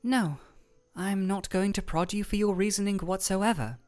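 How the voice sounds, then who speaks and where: A woman playing an aloof, regal ice elemental royal in a scripted fantasy audio roleplay, speaking in a formal, measured, deadpan way. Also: mid-range voice, faint music behind, close to an everyday voice. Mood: cool, matter-of-fact.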